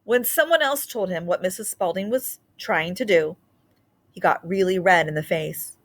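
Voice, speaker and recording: A woman's voice: fairly low pitch, moderate pace. A woman reading a children's story aloud as a storybook narrator. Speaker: storybook reader.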